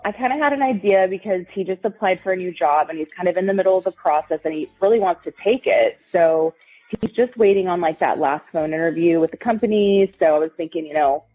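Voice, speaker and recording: A woman talking casually and hesitantly as a caller to a radio show. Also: medium pitch. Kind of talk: casual phone chat, hesitant explaining. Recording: muffled lo-fi audio, music underneath.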